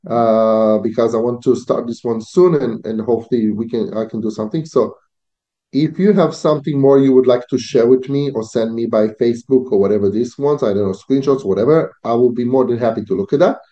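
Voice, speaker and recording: Hesitant guy, repeats himself a lot, mellow voice, dull muffled call audio. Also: medium pitch, fairly quick. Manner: hesitant, repeats words, stumbling phrasing. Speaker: client interviewing freelancers. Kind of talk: business call.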